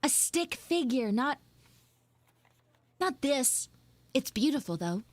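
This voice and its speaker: A woman voicing a flustered young tsundere schoolgirl in a live audio roleplay, halting, awkward and defensive. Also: high voice, sing-song, no room sound, heavily acted. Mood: awkward, boastful. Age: young, school student. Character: flustered tsundere frog girl, failing art student.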